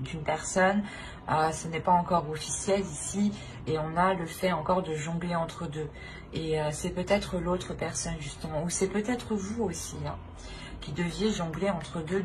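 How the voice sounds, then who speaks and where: Low-voiced woman reading tarot, noisy recording. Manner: hesitant, repetitive phrasing, talking directly to the listener. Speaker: tarot reader. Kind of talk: improvised tarot card reading to viewers. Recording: clear background noise.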